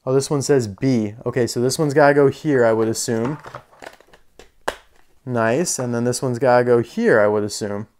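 A man's voice: medium pitch, moderate pace, darkish tone, slight background noise. A man giving casual, unscripted hands-on toy commentary, chatting and thinking aloud as he goes. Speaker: toy reviewer. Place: indoor room full of toy displays.

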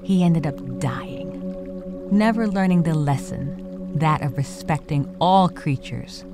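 A man playing a solemn storyteller, narrating a cautionary animal legend in a grave, deliberate, dramatic way. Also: a little high, music in the background.

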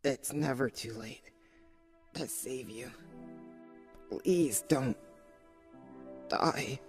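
A devoted cartoon teenage boy acted by a man, earnest and sincere. He speaks slowly in a mid-range voice, with music behind him. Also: mid-range voice, slightly rough, heavily acted. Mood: pleading. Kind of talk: fan comic dub voice acting.

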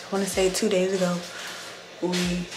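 A woman in her twenties with a sick, hoarse, partly lost voice, chatting casually to vlog viewers. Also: lowish voice, monotone, moderate pace. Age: 20s. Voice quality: hoarse, breaking into whisper. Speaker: vlogger. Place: indoors, mostly a bedroom at home. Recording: clearly noisy camera audio.

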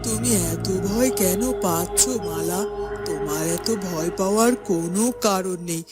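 Woman acting snake charmer's wife, lowish voice, composed, secretive, commanding, cartoon drama, heavy background music. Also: a little slow, natural voice. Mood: reassuring, secretive. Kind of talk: animated fairy-tale cartoon dub.

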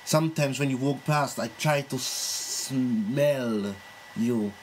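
A man voicing an university lecturer on a prank call, with a halting, pleading delivery. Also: mid-range voice, a little hiss or hum, natural voice, rough recording. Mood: pleading, smitten. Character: lovesick creepy Italian male university lecturer.

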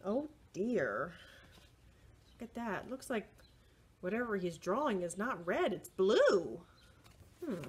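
A middle-aged woman reading a picture book aloud to young children, expressive and deliberate, with short asides to the listeners. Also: medium pitch, breathy edge. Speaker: children's library storyteller. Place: indoors, small room with bookshelves.

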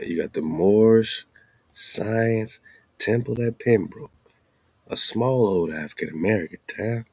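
A man's voice: on the low side, slow pace, warm tone, dry recording. A man giving an informal, slangy commentary, asking rhetorical questions and repeating phrases for emphasis. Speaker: host. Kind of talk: informal commentary monologue over on-screen maps and documents.

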